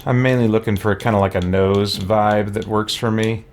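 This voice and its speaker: A man's voice: on the low side, fairly even intonation, moderate pace, a little hiss or hum. A man doing casual let's play commentary, thinking aloud in a tentative, musing way as he plays. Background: game music.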